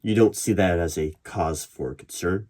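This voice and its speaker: A man playing a human resources interviewer in an ASMR roleplay, speaking in a measured, probing way with deliberate pauses. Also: sits low, dark tone, a little hiss or hum. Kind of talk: ASMR roleplay, one-sided job interview. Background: computer keyboard typing.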